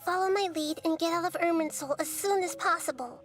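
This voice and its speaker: An actress voicing a small, calm young goddess who speaks softly and reassuringly, in game audio over music. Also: female voice, very high-pitched. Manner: soft, measured and reassuring.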